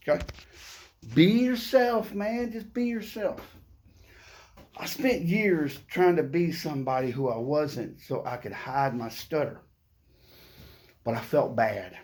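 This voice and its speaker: Upbeat man who stutters, higher voice. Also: a little mellow. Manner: upbeat, playful, keeps adding "okay?". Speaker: vlogger. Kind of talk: casual motivational talk to camera.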